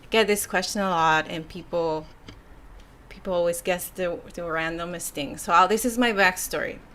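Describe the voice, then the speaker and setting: A young woman from Mexico with a Mexican Spanish accent, her first language being Spanish, reads viewer comments on a casual live stream. Her voice is bright and clear. She chats loosely, sighing and laughing between thoughts. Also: on the low side, moderate pace. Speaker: YouTuber reading viewer comments.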